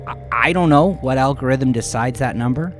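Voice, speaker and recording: Male narrator, mid-range voice, first-person audio drama, conversational storytelling, dramatic pauses, music underneath. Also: medium pitch. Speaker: narrator of his own horror story. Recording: music behind the voice. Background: background music.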